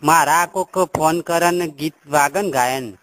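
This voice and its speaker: A man's voice: a little high, a little slow. A man with a rural Gujarati accent making a prank phone call as a customer, talking in a rustic, rambling, naively pushy way. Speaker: customer calling a helpline. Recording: noisy phone-call recording with clicks.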